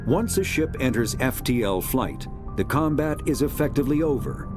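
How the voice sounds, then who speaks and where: A man narrating a science fiction game's encyclopedia in a measured, formal, authoritative documentary style. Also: medium pitch, animated, close to an everyday voice. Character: formal male narrator of a sci-fi codex. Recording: music behind the voice. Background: clear background music.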